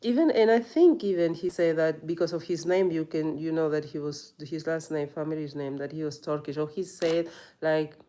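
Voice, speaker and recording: A woman's voice: low-pitched, moderate pace, warm tone. A woman yoga teacher in a reflective personal monologue, earnest and rambling, often saying "you know".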